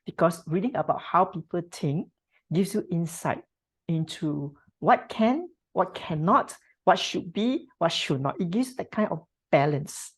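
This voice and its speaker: A woman from Singapore giving thoughtful interview answers, with many pauses and tag questions. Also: fairly low pitch, expressive, breathy edge. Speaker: podcast guest.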